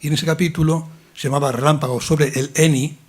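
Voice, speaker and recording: A man's voice: a little high, steady tone, brisk. A man speaking at a public colloquium, rambling through a long explanation with many restarts and fillers. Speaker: invited speaker. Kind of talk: lecture-style talk in a colloquium.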